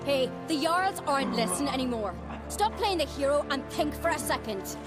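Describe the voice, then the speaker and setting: A woman's voice: high-pitched, lively intonation, normal speed, music in the background. An actress playing a level-headed, headstrong young clanswoman in a video game, speaking earnestly and firmly. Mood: urgent, exasperated. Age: young adult. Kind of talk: dramatic video game acting.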